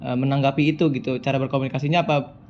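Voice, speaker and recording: A man's voice: medium pitch, steady tone, racing, darkish tone. A young man interviewing a fellow student, asking polite, hesitant questions with many fillers. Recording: muffled lo-fi audio with faint background noise.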